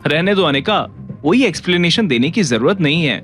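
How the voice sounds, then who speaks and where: A man's voice: on the high side, expressive, brisk, fairly bright tone, soft background music. A man playing a proud, hot-tempered, sarcastic young husband in an audio drama, assertive and biting. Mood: cutting. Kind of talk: Hindi audio drama acting.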